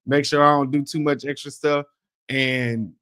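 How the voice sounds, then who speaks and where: A man co-hosts a sports talk podcast in a loose, conversational way, laughing often and circling back on his words. His voice sits at an ordinary pitch and is recorded dry and close. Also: a little slow, clean tone, dry recording. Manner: relaxed, chatty, laughs easily, restarts words. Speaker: podcast co-host. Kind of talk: casual podcast chat.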